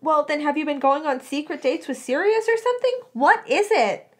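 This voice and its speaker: A woman's voice: high voice, animated, normal speed, pitched above the performer's own voice. A woman voicing a bossy, nosy teenage schoolgirl in a story, insistent and exasperated with her best friend. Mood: suspicious, insistent.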